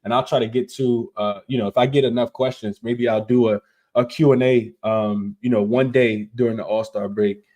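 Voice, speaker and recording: Hoarse man giving betting picks. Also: medium pitch, steady tone, a little mellow. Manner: filler-heavy, repeats words, quick asides. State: hoarse, losing his voice. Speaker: sports betting show host. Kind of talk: casual picks analysis to viewers.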